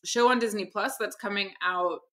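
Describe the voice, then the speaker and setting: A woman from Barbados, a producer who is a guest in a conversational interview, talking in a lively way. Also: mid-range voice, moderate pace. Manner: lively, laughs through her stories.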